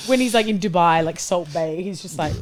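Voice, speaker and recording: A man's voice: sits high, a little fast, crowd noise. A man reacting and bantering casually as a panelist on a football podcast.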